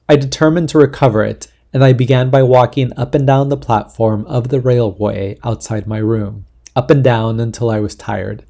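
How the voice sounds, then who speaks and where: Male reader as an earnest young rebel, forceful and declaiming, gravelly voice. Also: mid-range voice.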